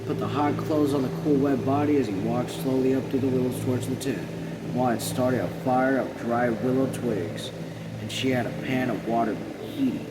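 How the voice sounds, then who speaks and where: A man narrating a novel aloud in a measured, plain manner with occasional stumbles. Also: medium pitch. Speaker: read-along narrator. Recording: loud steady air or car noise.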